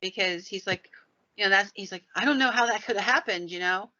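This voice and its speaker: A woman's voice: mid-range voice, brisk, pushed and bright. A woman podcast host telling paranormal stories in a conversational, folksy way with rambling asides. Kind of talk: conversational storytelling about the paranormal. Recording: dull, lo-fi sound.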